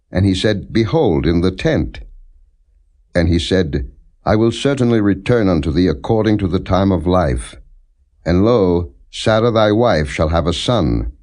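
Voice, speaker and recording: A man reading scripture as an audiobook narrator, in a solemn, measured, formal manner without dramatization. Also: low-pitched, a little mellow.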